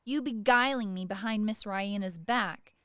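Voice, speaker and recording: A woman portrays a sly young maid who will not be put down. The manner is pert and needling, with deference that is only pretended. The radio play voice sits at a medium pitch in a dry recording. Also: measured pace, a bit bright, smooth, dry recording, natural voice. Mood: pert, teasing. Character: proud, sly, defiant young maidservant.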